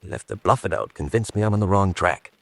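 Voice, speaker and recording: A man's voice: low-pitched, fast, creaky, breathy edge, no echo, full character voice. A man playing a determined, self-assured amateur spy hunter in a radio drama, with a dry manner. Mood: confident.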